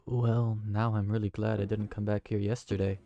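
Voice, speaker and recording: A man's voice: fairly low pitch, level tone, normal speed, lo-fi sound, lightly acted. A man voicing a kind, awkward tavern keeper who talks in a hesitant, friendly way. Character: kind, awkward young male tavern keeper named Briar. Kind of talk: fantasy audio roleplay.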